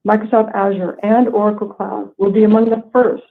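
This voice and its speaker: A woman executive delivers scripted earnings remarks in a formal, measured manner over a conference call line. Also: mid-range voice, flat delivery, vocal fry. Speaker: company executive presenting quarterly earnings. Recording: tinny, narrow phone-line conference call.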